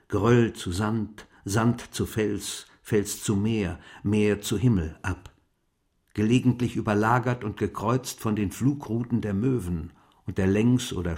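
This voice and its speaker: Wistful elderly gentleman diarist, acted by a man, measured. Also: mid-range voice, natural voice. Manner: measured, contemplative, formal, precise diction. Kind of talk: literary radio play monologue, reading diary entries.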